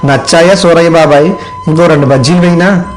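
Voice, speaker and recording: A man playing a kind, hardworking village eatery owner in an animated moral story, polite and obliging. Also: a little high, fairly quick, soft background music, close to an everyday voice. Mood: friendly, obliging.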